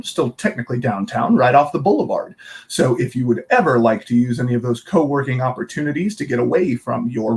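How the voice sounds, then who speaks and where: A man hosting a casual interview, chatty and rambling, often correcting himself mid-sentence. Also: medium pitch. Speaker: interview host, co-working board secretary.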